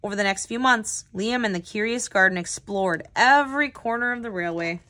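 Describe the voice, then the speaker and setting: A woman hosting a children's read-aloud as a tutor, telling the story with clear, expressive phrasing. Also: mid-range voice, fairly quick.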